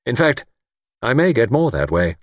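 An easygoing young sleuth, as a male narrator voices him, dry and unhurried in his talk. The pitch still moves with some liveliness. The sound is close and dry. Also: very animated, no room sound, close to an everyday voice. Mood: thoughtful.

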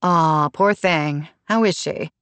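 A woman's voice: lowish voice, a little fast, no room sound, natural voice. A woman voicing a gossipy, tactless mother with a chatty manner in an audiobook. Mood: pitying. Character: gossipy, tactless horse-stable-owning mom. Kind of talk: audiobook character dialogue.

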